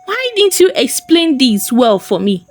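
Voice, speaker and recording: A woman's voice: sits high, big pitch swings, unhurried, pitched above the performer's own voice, full character voice. A woman storyteller voicing a greedy, bitter young farmer husband with a harsh, insistent manner in a folktale. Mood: indignant. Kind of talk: storytelling character voice.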